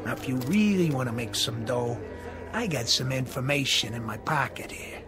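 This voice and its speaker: An actor playing a shady racetrack tout in a radio drama, hustling and wheedling in a confidential way. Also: male voice, mid-range voice, lively intonation, normal speed, natural voice. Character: shady, fast-talking male racetrack tout. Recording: radio drama with music underneath.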